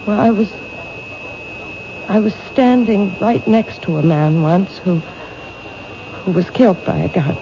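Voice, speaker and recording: A frightened woman in an old radio mystery, speaking hesitantly and with doubt. Her voice comes through a dull, muffled broadcast. A clear hiss runs underneath. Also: medium pitch, animated, slow, pauses often. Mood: anxious. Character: frightened, reluctant young woman stalked by a killer. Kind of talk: 1970s radio drama acting.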